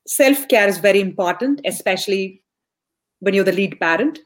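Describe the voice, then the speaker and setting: A young woman hosting a conversational podcast interview. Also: mid-range voice. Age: young adult. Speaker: podcast host.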